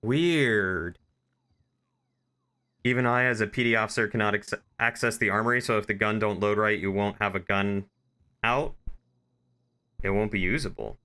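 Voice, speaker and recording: Bright, forceful man chatting on stream. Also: fairly low pitch, fairly even intonation, moderate pace, pauses often. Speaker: game streamer. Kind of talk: casual livestream chat while gaming.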